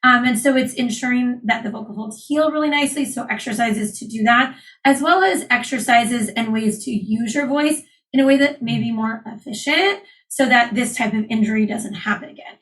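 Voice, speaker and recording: Calm explaining woman doctor. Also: on the high side, normal speed. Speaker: laryngologist guest. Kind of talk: interview, explaining medical topics.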